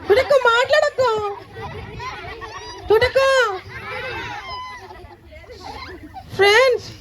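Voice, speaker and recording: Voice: extremely high pitch, normal speed, a bit bright, a faint crowd, slight background noise, poor audio. A puppeteer voicing a hungry, chatty child puppet that pleads and calls out to children in a live show. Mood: excited greeting.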